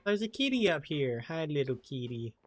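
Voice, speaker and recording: A woman's voice: sits low, sing-song, moderate pace. A woman giving casual let's-play commentary, conversational and reacting aloud as a game streamer.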